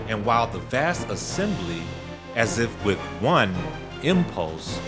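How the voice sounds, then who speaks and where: A man narrating a gothic horror tale in a dramatic, deliberate, scripted style. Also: medium pitch. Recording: prominent music bed under narration. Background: music.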